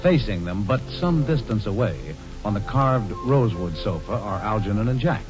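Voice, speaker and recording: A man's voice: lowish voice, lively intonation, moderate pace. A man narrating as an announcer in scripted 1940s radio style. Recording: muffled 1940s radio broadcast with music. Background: music.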